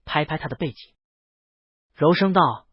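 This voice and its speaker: A man's voice: a little high, normal speed, breathy edge, dry recording, lo-fi sound. A man narrating a scripted romance novel as an audiobook, reading close to the mic in a dry recording. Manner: reads character dialogue within the narration.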